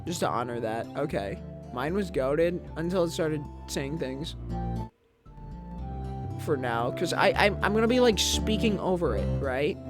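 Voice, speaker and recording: A woman's voice: sits low, lively intonation, measured pace. A woman streamer chatting casually and reading a manga aloud, jokey, laughing often and interrupting herself. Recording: background music under voice.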